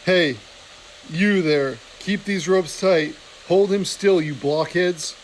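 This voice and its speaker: Gruff stoat soldiers bark orders in loud, raised voices, as a man voices them. Bossy and rough, they sound like storybook barracks bullies. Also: sits high, takes it slowly, a little hiss or hum, rough recording. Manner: barking calls and orders.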